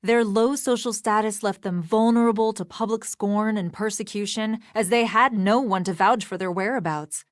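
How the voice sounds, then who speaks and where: A woman's voice: mid-range voice, steady tone, normal speed, few pauses, no echo, clean studio sound, lightly acted. An actress playing a formal, scholarly woman historian in a video game, earnest, precise and bookish in manner. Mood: earnest, lecturing.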